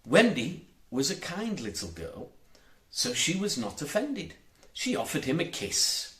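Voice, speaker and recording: A man's voice: on the high side, sing-song, a little slow, a bit bright, reverberant. A man reading a children's storybook aloud as an audiobook narrator, expressively emphasizing his words. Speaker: audiobook reader.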